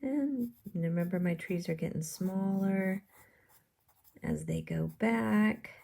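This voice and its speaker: A woman's voice: medium pitch, slow, creaky, dark tone. A woman art teacher giving step-by-step drawing instructions in simple, encouraging language, often putting questions to the viewer.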